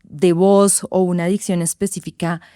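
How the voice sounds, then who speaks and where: A woman's voice: lowish voice, level tone, moderate pace, warm tone, smooth, no echo, clean studio sound. A woman, hosting and asking careful, hesitant questions in an interview. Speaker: interviewer, podcast host.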